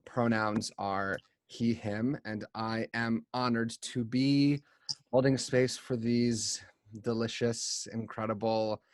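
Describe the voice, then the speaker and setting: A man hosting a panel discussion over a video call, speaking gently and carefully. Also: medium pitch, no echo, studio quality. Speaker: panel host.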